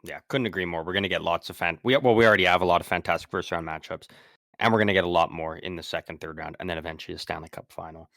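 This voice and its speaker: A man co-hosting a casual sports podcast, talking haltingly with lots of ums while fighting a sore throat. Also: sits low, a bit bright, no echo, clean studio sound. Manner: halting, many ums and restarts. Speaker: podcast co-host.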